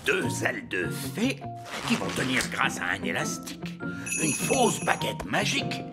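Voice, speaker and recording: An old male elf from a cartoon, bossy and scheming. His theatrical delivery swings wildly up and down in pitch, with loud music underneath. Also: huge pitch swings, unhurried. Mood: scheming, commanding. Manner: emphatic, theatrical.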